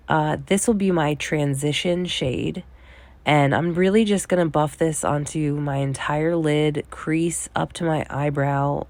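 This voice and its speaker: A young woman with a low voice, nasal and a little weak from being sick. She talks casually and relaxed, often checking in with "okay?". The recording is dry and close, made in a small bedroom. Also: dry recording. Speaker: beauty vlogger. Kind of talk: casual voice-over tutorial.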